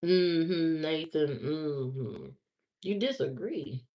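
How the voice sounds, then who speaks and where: A woman's voice: deep voice, lively intonation, very slow, warm tone. A woman from Indiana chatting casually on a livestream, answering viewer comments and repeating herself as she talks back to them. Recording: slightly muffled lo-fi stream audio.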